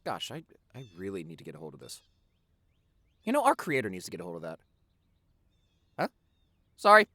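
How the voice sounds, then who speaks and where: A man playing a chatty, protective teenage boyfriend in an audio roleplay, rambling and halting as he reminisces, repeating words for emphasis. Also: low voice, huge pitch swings, pushed and bright, dry recording, heavily acted. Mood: flustered rambling.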